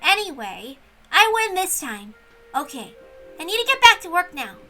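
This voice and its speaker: A woman's voice: extremely high pitch, sing-song, normal speed, bright, forceful tone, full character voice. A woman voicing an earnest, stubborn young servant girl in a visual novel read-aloud, determined and defiant. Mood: cheerfully defiant. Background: music.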